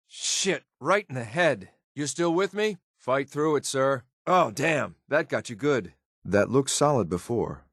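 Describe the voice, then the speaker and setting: An actor playing a hard-edged, sardonic soldier companion in a video game, clipped and dry, loyal to his superior but quick to show disdain. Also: lowish voice, dry recording. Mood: pained, urgent. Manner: clipped, dry sarcasm, addresses superior respectfully.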